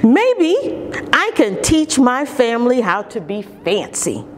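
Very expressive, unhurried woman reading a storybook. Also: on the high side, slight background noise. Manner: expressive, deliberate, with drawn-out emphasis on key words. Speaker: storytime reader for young children. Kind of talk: children's picture-book read-aloud. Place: large carpeted indoor lobby or lounge.

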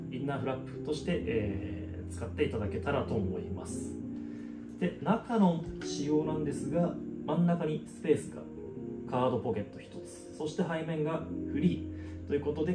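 A man in his thirties giving a casual, conversational product walkthrough as a craftsman, explaining details as he goes. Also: mid-range voice. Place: small indoor room with product shelf. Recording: roomy echo, guitar music behind. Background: guitar music.

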